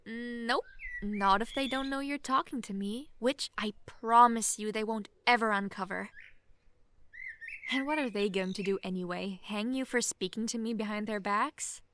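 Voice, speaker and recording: A young woman playing a sheltered, lonely princess who is playful, clingy and outspoken, in an expressive, chatty manner for an intimate roleplay audio drama. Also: medium pitch, moderate pace, close to an everyday voice. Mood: bitter, teasing. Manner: expressive, chatty, swinging from giddy to wistful. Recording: faint background noise, hobbyist home microphone.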